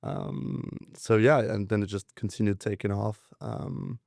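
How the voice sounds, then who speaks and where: A young man telling his story in a relaxed, rambling way, full of fillers and restarts. His voice is low and gravelly, with a dark, mellow tone. His delivery stays flat and even, and the sound is dry and close. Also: a little slow, dry recording. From Denmark, raised in southern France. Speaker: guest, venture capitalist. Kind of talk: casual interview storytelling.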